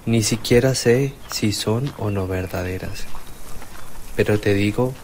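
A man's voice: on the low side, unhurried, a little mellow, a little hiss or hum. An actor playing an earnest, cautious and respectful male disciple in a religious radio play. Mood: earnest, worried. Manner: deferential, earnest. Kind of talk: radio drama acting.

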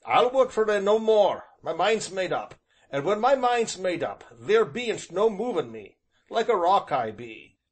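Man reading as surly country smith's helper, growly, drops his h's, rural southern english dialect, loud. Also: a little high, very animated, measured pace, breathy edge, voice pushed up, full character voice. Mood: sullen, defiant. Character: surly, stubborn male blacksmith's striker.

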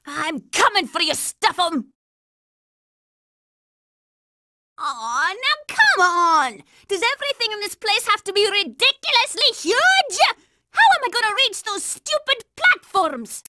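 A woman's voice: extremely high pitch, big pitch swings, measured pace, background noise, heavily acted. A woman voicing a bossy, hot-tempered young girl soldier in a cartoon video game, brash, scolding and sarcastic. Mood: impatient, bossy.

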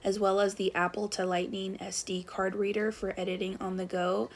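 A woman walks through the gear she carried on a long hike, her voice sitting at an ordinary middle pitch. She talks in a relaxed, unscripted way, pausing on little fillers as she recommends things. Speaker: hiker reviewing her gear. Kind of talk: casual gear review narration.

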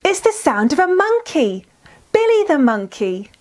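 A woman presenter for young children with a high voice. She speaks slowly and very expressively, encouraging her listeners in a scripted storytime style. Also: very animated, darkish tone. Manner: enunciated, encouraging, asks listeners questions.

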